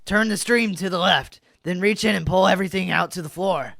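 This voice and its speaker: A frantic crime boss played by a woman in a radio drama, snapping out orders. The voice is sharp and gravelly, recorded close and dry. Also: lowish voice, a little fast, no room sound. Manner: curt, barking urgent orders. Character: bossy, frantic crooked blackmailer gang boss.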